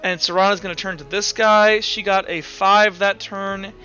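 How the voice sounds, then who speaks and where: A man narrating a tabletop roleplaying game as game master in an animated, casual, profane way. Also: high voice, moderate pace, highs cut off, faint music behind.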